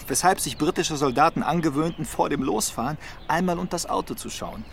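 An actor playing an ironic, precise and wordy investigator in a radio crime drama. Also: male voice, on the high side, brisk, creaky, slight background noise. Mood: explanatory. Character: dry, pedantic male investigator with ironic humour.

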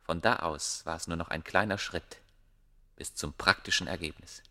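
An actor playing a proud, obsessive ancient scholar, speaking gravely and declaiming in a German radio play. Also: male voice, sits low, pushed and bright, dry recording, studio quality. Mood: grave, intense. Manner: grave, declamatory, deliberate phrasing.

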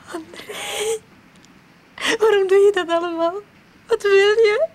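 Terrified, shrill high-pitched woman. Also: fairly even intonation, slow. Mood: anguished. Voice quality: tearful, breathless. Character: frightened, pleading married woman haunted by a ghost. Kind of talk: radio drama acting. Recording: noisy recording with storm sounds.

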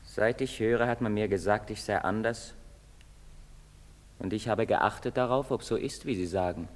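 An actor playing a restless, defiant young outcast in a sardonic, intense theatrical manner. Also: male voice, fairly low pitch, moderate pace, lightly acted. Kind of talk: theatrical stage-play acting. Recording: long reverberant hall sound.

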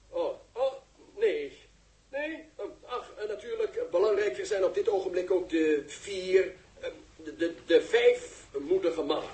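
An actor playing a pompous, easily flustered live reporter in a 1960s radio drama, speaking in formal, florid phrases. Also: male voice, high voice, animated, a little slow, darkish tone. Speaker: television reporter. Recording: echoey, distant 1960s radio recording.

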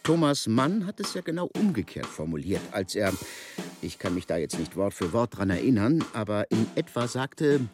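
A man's voice: lowish voice, very animated, moderate pace. An actor playing an earnest, learned man in a scripted radio debate, speaking in an emphatic professorial way with music underneath. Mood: questioning. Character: earnest, learned male literary debater quoting poets and doubting. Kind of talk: scripted intellectual discussion in radio drama.

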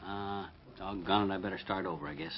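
A man playing an excitable, boastful husband in old radio comedy, folksy and self-important, with a husky voice. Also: deep voice, a little fast. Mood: flustered. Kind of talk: 1950s radio comedy acting. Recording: dull, lo-fi old radio recording.

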